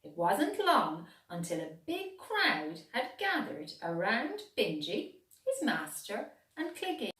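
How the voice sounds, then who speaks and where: A woman narrating a children's storybook in an expressive, deliberate way, recorded in a roomy space away from the microphone. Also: medium pitch. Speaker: teacher reading a children's picture book. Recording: roomy echo, distant microphone.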